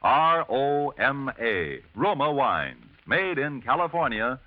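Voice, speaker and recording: A man's voice: mid-range voice, big pitch swings, slow, no echo. A male announcer reading a 1940s radio advertisement in a polished, emphatic sales style. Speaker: commercial announcer. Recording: dry, tinny 1940s radio recording.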